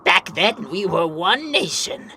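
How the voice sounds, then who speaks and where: A man voicing an old, grave grandmaster of an ice nation in an animated fantasy, solemn and melodramatic. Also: sits high, slow pace, slight background noise, exaggerated voice. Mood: solemn storytelling. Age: older. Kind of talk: animated fantasy drama acting.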